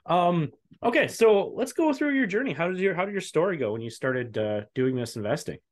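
A man's voice: on the high side, big pitch swings, brisk. A man hosting a podcast, asking questions in a friendly, rambling way with many fillers. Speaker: podcast host. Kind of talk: casual interview hosting.

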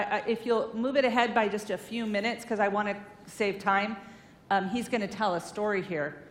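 A woman giving an informal, earnest sermon-style talk at a church, chatty and full of fillers, on a stage microphone. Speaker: guest speaker at a church, counselor. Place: large hall in a church. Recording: echoey hall, faint rumble.

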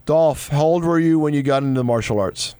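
A man's voice: fairly high pitch, brisk, background noise. A man hosting a radio interview show, upbeat and repeating his questions as he steers the talk. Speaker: radio host.

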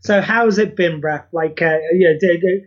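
A man's voice: high voice, a little slow. A man co-hosting a podcast, teasing with dry, sarcastic humor in casual chat. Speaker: podcast co-host. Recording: dull lo-fi call audio.

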